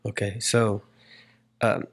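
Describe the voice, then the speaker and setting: A man hosting a casual interview, talking loosely and working his way haltingly into each question. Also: on the low side, steady tone, creaky, breathy edge. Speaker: podcast host.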